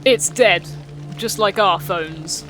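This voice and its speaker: A man's voice: high voice, slow, bright, forceful tone, faint music behind, heavily acted. A man playing a frantic, blunt, sweary teenage boy in an audio drama. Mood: tense, blunt. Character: panicked, sweary British teenage boy searching for sister.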